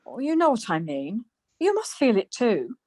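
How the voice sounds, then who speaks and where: A woman's voice: a little high, huge pitch swings, moderate pace, mellow, no echo, studio quality. A woman acting a scatty, easily exasperated wife in a domestic radio comedy. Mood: stressed. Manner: flustered, snippy.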